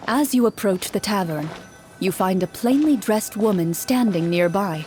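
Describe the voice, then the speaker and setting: Calm woman narrating a game story. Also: medium pitch, normal speed, a little hiss or hum. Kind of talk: scripted second-person story narration.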